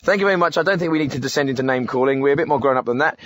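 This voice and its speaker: An actor playing a glib, self-righteous phone-in radio host who stammers when challenged. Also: male voice, mid-range voice, very fast, fairly bright tone, no room sound, clean studio sound, close to an everyday voice. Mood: brisk, presenterly. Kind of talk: spoof radio phone-in presenting.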